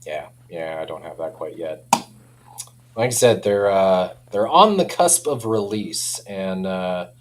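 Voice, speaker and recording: A man speaking as a company representative in a casual product chat, informal and hedging, quick to chuckle. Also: low-pitched, expressive, a little hiss or hum.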